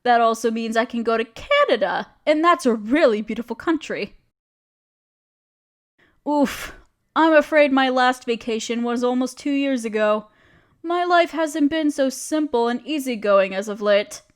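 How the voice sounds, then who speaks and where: A woman's voice: a little high, a little slow, smooth, close to an everyday voice. A woman playing a friendly, genteel witch who chats kindly in quaint, dainty phrases, in an audio roleplay. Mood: friendly, chatty. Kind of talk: scripted audio roleplay speaking to the listener.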